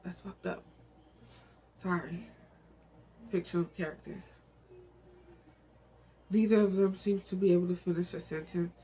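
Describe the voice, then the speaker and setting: A man reading a novel aloud in an informal, emotional, soft storytelling manner, now and then speaking in asides. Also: high-pitched, fairly even intonation, a little slow, pauses often, slight background noise, rough recording. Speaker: amateur book reader and channel host.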